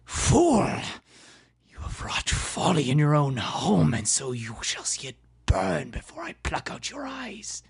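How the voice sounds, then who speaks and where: A man's voice: on the high side, very animated, slow, vocal fry, husky, pitched above the performer's own voice, exaggerated voice. A man voicing a menacing warlord of the damned in an audio drama, with a hissing half-whisper and archaic, taunting speech. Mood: furious.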